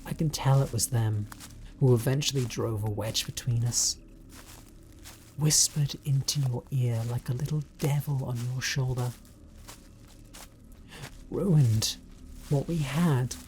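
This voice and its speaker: A man with a British accent playing an obsessive, bitter spurned stalker in a horror audio roleplay, speaking in a deliberate, coldly taunting way. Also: medium pitch, darkish tone, a little hiss or hum, natural voice, low-quality recording. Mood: resentful, wounded.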